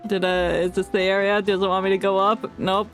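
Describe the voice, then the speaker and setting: A woman's voice: medium pitch, flat delivery, a little fast, soft background music. A woman game streamer giving casual, joking reaction commentary in a playful way.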